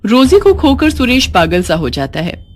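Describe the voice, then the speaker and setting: A woman narrating a scripted moral story with expressive storytelling. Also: fairly high pitch, moderate pace.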